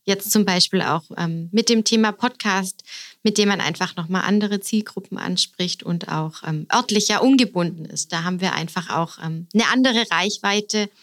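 A woman's voice: fairly low pitch, a bit bright. A middle-aged woman, answering interview questions about her work in an explanatory way with frequent fillers. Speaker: education officer and podcast guest.

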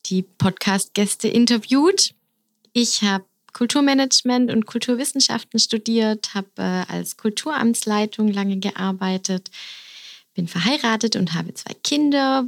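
A middle-aged woman, answering interview questions about her work in an explanatory way with frequent fillers. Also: medium pitch. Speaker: education officer and podcast guest.

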